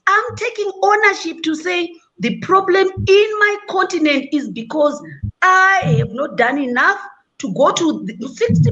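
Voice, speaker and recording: A woman with a high voice makes her point on a panel in an earnest, deliberate way. The sound is a little dull and lo-fi. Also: fairly bright tone. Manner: earnest, deliberate, self-critical. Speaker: panelist, education leader. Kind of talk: panel discussion.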